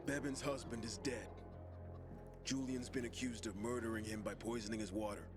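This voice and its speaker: A man's voice: lowish voice, steady tone, moderate pace, natural voice. An actor voicing a stern male official with a formal manner, in video game dialogue. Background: music.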